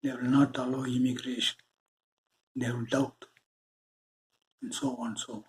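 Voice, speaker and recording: Slow, earnest Indian man preaching. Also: mid-range voice, little pitch movement, slight background noise. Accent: Indian English. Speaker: spiritual channel host. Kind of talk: spiritual monologue.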